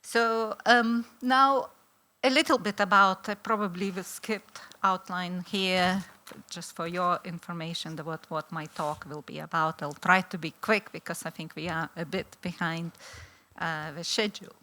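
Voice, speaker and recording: Lithuanian-accented woman, middle-aged, conference speaker; bright, forceful tone, lowish pitch, hesitant delivery. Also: steady tone, measured pace. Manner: hesitant, many fillers and restarts. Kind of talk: conference presentation.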